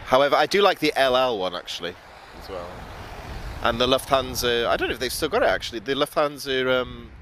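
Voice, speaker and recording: A British man hosts a casual live plane-spotting stream, chatting informally to his viewers. His voice sits at an average male pitch. He is speaking outdoors, with some ambient noise and vehicles behind him. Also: expressive, brisk, fairly bright tone. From United Kingdom. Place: outdoors by an airport. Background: outdoor urban and vehicle noise.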